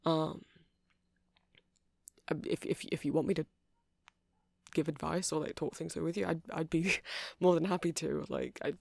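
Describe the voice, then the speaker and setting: Man playing an awkward, creaky-voiced vampire shopkeeper. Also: on the high side, fairly even intonation, normal speed, pauses often, a little mellow, dry recording. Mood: friendly, earnest. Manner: hesitant, stammering, apologetic, nervously chuckling. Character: awkward, rambling, flustered male vampire music shop owner. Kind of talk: ASMR audio roleplay, casual and intimate.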